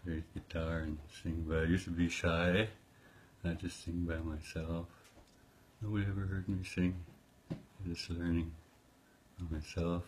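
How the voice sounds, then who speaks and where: A man in his fifties, soft-spoken, giving short, easygoing answers that often end in "eh", recorded at home on a close phone camera while sitting with his guitar. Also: deep voice, little pitch movement, unhurried, slight background noise. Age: 50s. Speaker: musician interviewee. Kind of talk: casual interview chat. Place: small indoor room at home, playing guitar.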